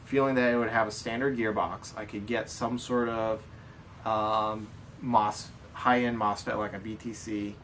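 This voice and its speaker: A man's voice: lowish voice, flat delivery, unhurried, fairly bright tone. An American man co-hosting a podcast in casual chat, explaining things in a rambling way. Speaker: podcast co-host answering listener questions. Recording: distant mic, background noise.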